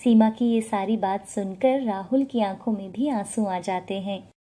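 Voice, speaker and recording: A woman's voice: mid-range voice, measured pace, mellow. A woman narrating a scripted moral story as a storyteller.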